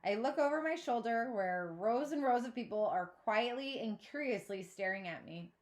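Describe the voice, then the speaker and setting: A woman's voice: a little high, expressive, moderate pace. A woman reading a children's novel aloud expressively, like a teacher.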